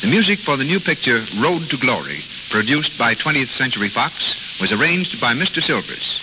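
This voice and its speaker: A man's voice: medium pitch, sing-song, moderate pace. A man announcing formally from a script in the style of 1930s radio. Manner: formal, list-reading delivery. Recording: muffled, hissy vintage radio recording.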